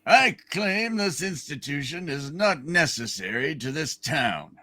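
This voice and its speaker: Guy putting on mean old rich banker, slow n pompous, kinda high for a man, sharp edge, radio play ham. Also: lively intonation, heavily acted. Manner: pompous, scornful, deliberate. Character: cold, contemptuous old rich banker villain. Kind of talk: hammed-up amateur reading of a classic radio play.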